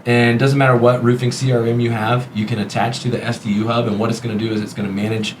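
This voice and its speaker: A man's voice: medium pitch, little pitch movement, fast, echoey room. A man from Texas with an American accent, talking casually as the host of a business podcast. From Texas, United States. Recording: clear background noise, room ambience.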